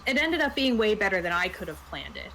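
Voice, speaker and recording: A woman's voice: mid-range voice, very animated, fast talker, pushed and bright. Woman telling a casual story, noisy background. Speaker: tabletop game master and podcast panelist. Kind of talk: casual anecdotal chat.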